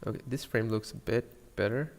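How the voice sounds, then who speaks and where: A man with a Sri Lankan accent giving a casual software tutorial, thinking aloud in a hesitant murmur as he works. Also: lowish voice, moderate pace, gravelly, in a large hall. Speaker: 3D animation tutorial streamer.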